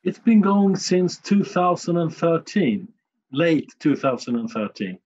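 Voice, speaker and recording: A man with a Stockholm Swedish accent speaks English as a second language, answering questions in a measured, plain-spoken way. His voice sits a little high for a man, and the recording sounds slightly dull and muffled. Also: sing-song, a little mellow, breathy edge. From Sweden. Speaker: guest, communications manager. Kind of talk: podcast interview answers.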